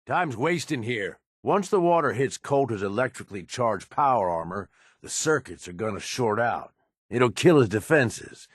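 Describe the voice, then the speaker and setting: An actor playing a blunt, pushy, cunning raider schemer in a video game, with a streetwise American manner of speech. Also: male voice, lowish voice, breathy edge, no echo, studio quality, full character voice. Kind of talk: video game character dialogue.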